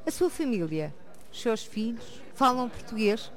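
A woman journalist asking interview questions in a measured, direct way. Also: fairly high pitch, very animated.

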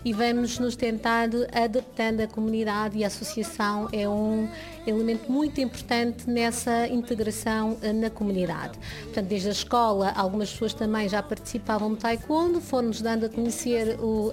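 A woman from Angola giving a personal account in an interview as a volunteer, explaining in long, measured sentences. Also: fairly high pitch, fairly even intonation, over music. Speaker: association volunteer.

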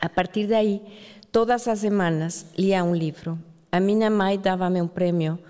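A woman's voice: medium pitch, fairly even intonation, unhurried, dark tone. Mexican woman speaking in a reverberant hall. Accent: Mexican Spanish. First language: Spanish. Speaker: writer giving a talk. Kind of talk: prepared talk at a literary event. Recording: long reverb of a large hall.

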